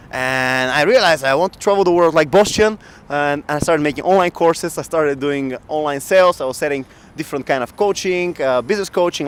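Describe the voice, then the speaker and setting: A man in his thirties from Bulgaria telling his work story in a casual outdoor interview, animated and laughing often, into a handheld mic. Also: fairly high pitch, slight background noise. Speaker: guest entrepreneur. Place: outdoor city square in winter. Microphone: handheld mic shared, camera close.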